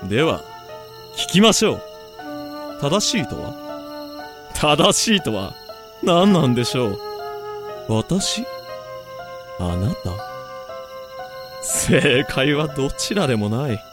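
Man acting slow, theatrical, smug villain. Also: medium pitch, sing-song. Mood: smug, lecturing. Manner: polite, measured, theatrical. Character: calm, smug, philosophizing male villain who experiments on life. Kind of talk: Japanese voice drama acting. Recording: music behind the voice.

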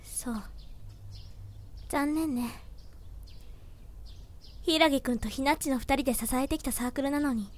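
Casting: actress as supportive female club senior, high-pitched, earnest and caring, for audio drama. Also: measured pace, long pauses. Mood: sympathetic, wistful. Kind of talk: fan audio drama acting.

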